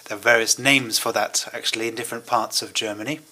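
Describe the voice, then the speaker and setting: Bright-voiced male conference interpreter. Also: mid-range voice, steady tone. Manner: measured, hesitant phrasing with pauses. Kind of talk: simultaneous interpretation of a speech.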